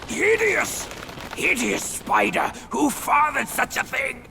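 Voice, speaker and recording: An actor playing a quarrelsome male game character in a cutscene, shouting insults and pleas. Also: very high-pitched, big pitch swings, slow pace, vocal fry, background noise, heavily acted. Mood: scornful. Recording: loud orchestral score behind. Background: loud game music.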